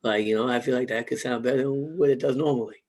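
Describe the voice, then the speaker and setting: A man co-hosting a podcast in casual chat, hoarse from losing his voice, joking loosely and swearing freely. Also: medium pitch, fairly even intonation, fast, a little mellow. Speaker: podcast co-host.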